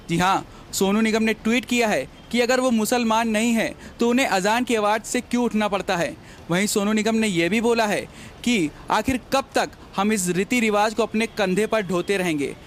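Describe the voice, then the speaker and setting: An Indian-accented male reporter speaks in a high voice for a man. He delivers a scripted news piece, with faint background noise behind him. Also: moderate pace. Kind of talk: scripted news introduction to camera.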